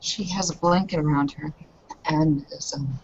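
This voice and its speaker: A woman's voice: deep voice, steady tone, normal speed. A woman casually and hesitantly narrating her character in a tabletop roleplay, on a headset. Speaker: roleplay game player. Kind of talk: descriptive tabletop game narration. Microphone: headset on online video call. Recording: dull, slightly noisy online call audio.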